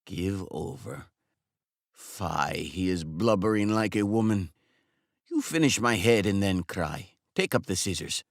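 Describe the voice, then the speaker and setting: A man's voice: low-pitched, expressive, unhurried, gravelly, dry recording, exaggerated voice. A smug, bluff older man who lectures the barber in a patronizing, matter-of-fact tone, as a man reading the story puts him on. His voice rises and falls a lot. The recording is dry and close. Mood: scolding. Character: older male customer, smug and blunt.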